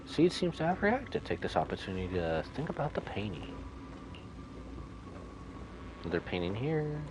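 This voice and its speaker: Guy streaming a game, low voice but super animated, casual commentary n reading text, slight background noise. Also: brisk.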